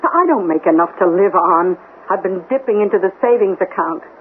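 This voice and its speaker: An actress playing a loving, careworn middle-aged mother in a 1970s radio drama, gentle, plain-spoken and mildly reproving. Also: on the low side, brisk, lightly acted. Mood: weary. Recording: muffled phone-like old radio, faint hiss.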